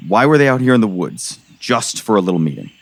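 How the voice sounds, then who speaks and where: A man acting a gruff, skeptical middle-aged sheriff in an audio drama, exasperated and pressing. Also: fairly low pitch, sing-song, fast. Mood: pressing, stern. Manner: exasperated, sarcastic, pressing interrogator.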